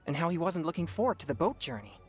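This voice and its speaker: A young game heroine, earnest and protective. The voice sits a little low for a woman but moves in lively, emphatic swings, with faint music behind. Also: fast talker. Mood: thoughtful. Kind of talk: video game cutscene acting.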